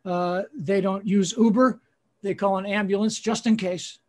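Comedian in his sixties, fairly high voice for a man, deadpan and rambling, on a webcam. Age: 60s. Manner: rambling, self-deprecating, halting with fillers. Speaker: stand-up comedian. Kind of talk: deadpan stand-up routine.

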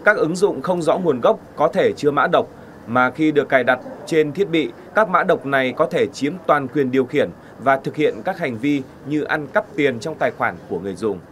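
A man's voice: fairly high pitch, moderate pace, fairly bright tone, slight background noise. A man narrating a news report from a script. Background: faint music.

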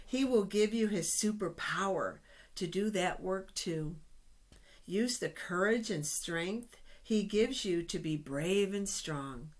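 A middle-aged woman reads a storybook aloud to children. Her pace is slow and deliberate, with expressive phrasing and clear pauses between short phrases. Her voice sits at an average pitch for a woman. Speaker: children's storytime host at a church. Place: small indoor office.

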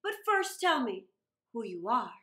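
A woman's voice: a little high, very animated, measured pace, sharp tone, reverberant, full character voice. A woman voicing a brave male samurai warrior in a storybook, direct and forthright. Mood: bold questioning. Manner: direct, bold, questioning.

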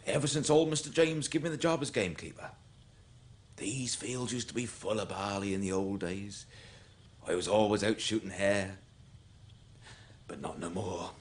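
An actor playing a haunted old country gamekeeper with a rural English accent in a 1970s radio play. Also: male voice, lowish voice, normal speed, long pauses, natural voice. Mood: gruff unease. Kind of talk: 1970s British radio drama storytelling.

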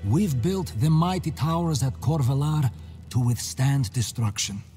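An actor playing a devout, solemn follower of a holy order in video game dialogue, reverent and measured. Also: male voice, mid-range voice, warm tone, faint music behind, lightly acted. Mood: zealous.

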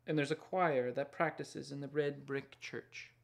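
A man in his thirties reading a picture book aloud in a gentle, unhurried and sometimes hushed way. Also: medium pitch, fairly even intonation, vocal fry. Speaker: children's picture book author and illustrator. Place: small indoor studio lined with books and records.

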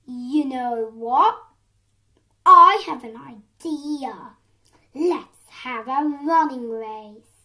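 Young girl as storybook horse, male, boastful, high voice, slow drawn-out exclaiming. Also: pushed and bright, exaggerated voice. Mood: cocky challenge. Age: child. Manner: taunting, exclaiming, drawn-out words. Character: boastful mocking male horse, arrogant, later humbled.

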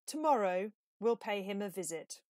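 A woman's voice: medium pitch, animated, moderate pace, darkish tone, no room sound, studio quality, natural voice. A woman voicing a stern, commanding male badger from a children's storybook, speaking emphatically. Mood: concerned.

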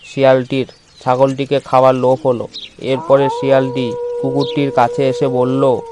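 A man's voice: mid-range voice, monotone, normal speed, crowd noise. A man narrating a scripted children's story as its storyteller. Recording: loud background noise, animal sounds.

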